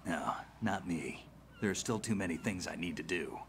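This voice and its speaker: An actor playing a weary, terse veteran male soldier with a low, gruff, hushed delivery. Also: expressive, moderate pace, slight background noise.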